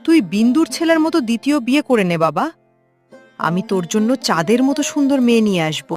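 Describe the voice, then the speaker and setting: A woman's voice: a little high, big pitch swings, fast, a little mellow, faint music behind. A woman voicing a stern, insistent old mother-in-law in a melodramatic cartoon story. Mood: coaxing. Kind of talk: Bengali cartoon story dialogue.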